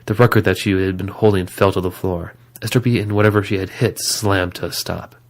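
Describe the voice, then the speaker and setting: A man's voice: deep voice, steady tone, fairly quick. A man reading scripted story narration as the narrator of an audio drama.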